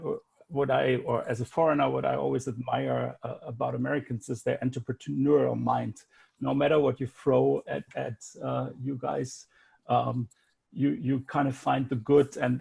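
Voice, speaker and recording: A man with a German accent, an architect giving a halting panel talk on a video call, with many fillers and restarts. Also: medium pitch, creaky, darkish tone, husky. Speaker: graduate director, architect. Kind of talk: panel presentation.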